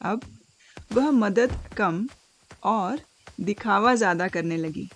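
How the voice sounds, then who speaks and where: Female storyteller, unhurried pace, mid-pitched voice, dramatic pauses, scripted cartoon fable narration. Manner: dramatic, deliberate phrasing with pauses.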